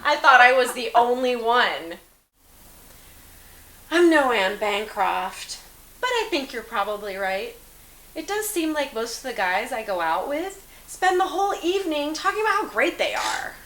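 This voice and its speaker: A woman's voice: on the high side, sing-song, a little slow, fairly bright tone. A woman playing a sympathetic, confiding teenage girl in an amateur dramatic dialogue reading. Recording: roomy echo, hiss in background.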